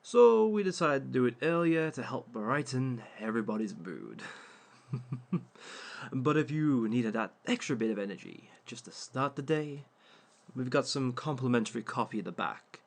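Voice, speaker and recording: A man's voice: medium pitch, expressive, gravelly. A man playing a smug male villain who hosts a dating workshop, speaking in a dry, teasing way to an unseen audience. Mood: welcoming. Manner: dry, teasing, unhurried, talks to unseen listeners. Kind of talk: audio roleplay monologue.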